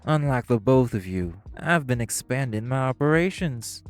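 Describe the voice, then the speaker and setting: A man's voice: mid-range voice, expressive, moderate pace, faint music behind, lightly acted. An actor playing a smug, catty male crime businessman who needles the others with sarcasm. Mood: mocking. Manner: sarcastic, provoking, flirtatious jabs. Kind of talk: audio roleplay acting.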